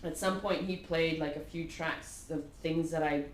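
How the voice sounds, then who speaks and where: A woman in her thirties from Kuwait, a native Arabic speaker, talks as a podcast guest in a lowish voice. She tells stories animatedly, with filler words and frequent laughter. The sound is roomy and echoey, with a faint hiss behind. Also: normal speed. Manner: animated storyteller, laughs often, many fillers. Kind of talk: casual conversation. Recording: echoey room with faint noise.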